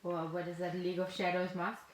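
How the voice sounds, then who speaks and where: A woman's voice: on the low side, completely flat delivery, brisk. A woman chats casually as a podcast co-host, with a playful, informal way of telling things. Her voice comes with noticeable room echo and slight background noise. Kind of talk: casual conversational chat. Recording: echoey room, faint noise.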